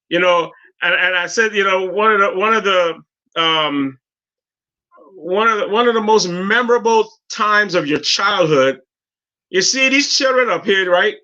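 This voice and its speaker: A man in his fifties from Guyana with a Guyanese accent, telling stories in an animated, heartfelt way as a guest on a casual talk show, often restarting his sentences. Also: sits high, lo-fi sound. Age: 50s.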